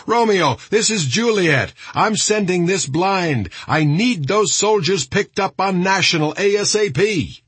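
A man's voice: sits high, lively intonation, unhurried, hardly any pauses, a bit bright, husky, no echo, pitched above the performer's own voice, exaggerated voice. A man voicing a frantic army captain in an audiobook, calling out alarm in urgent, clipped military radio talk. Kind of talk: audiobook character voice.

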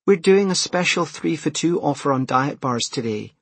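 Audiobook bit part: young female cashier male reader, raised pitch, bored and officious, dry recording. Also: expressive, normal speed, slightly rough, no echo. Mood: flat, officious. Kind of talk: audiobook character dialogue.

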